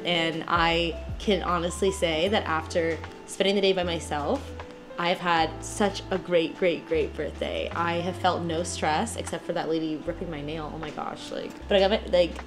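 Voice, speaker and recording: A woman in her late twenties talking to the camera at home in a casual, reflective vlog, with many "like" fillers. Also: fairly low pitch. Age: 20s. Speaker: vlogger. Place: at home indoors.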